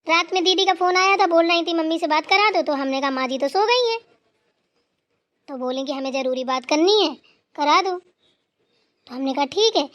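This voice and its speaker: A woman's voice: very high-pitched, moderate pace, long pauses, fairly bright tone, lo-fi sound. A woman voicing a dutiful, anxious young daughter-in-law who talks eagerly and pleads. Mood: earnest.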